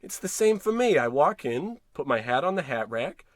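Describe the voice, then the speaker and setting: An actor playing an excitable, fretful husband, theatrical and folksy, in a 1940s-style radio comedy. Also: male voice, a little high, moderate pace, no echo. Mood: baffled, insistent.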